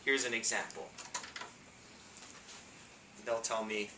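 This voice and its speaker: A bright-voiced man in his thirties with a strong New York City accent delivers a comic speech with punchy, playful timing. He sounds distant from the mic, his voice ringing in an echoey meeting room with a faint hiss underneath. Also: medium pitch, fairly even intonation, slow. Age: 30s. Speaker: speech contestant. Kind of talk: humorous prepared speech.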